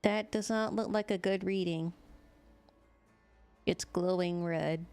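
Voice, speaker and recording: A woman's voice: on the low side, fairly even intonation, takes it slowly, a little mellow, smooth, faint music behind. A woman streamer giving casual live game commentary in a playful, teasing, blunt way, close to the microphone.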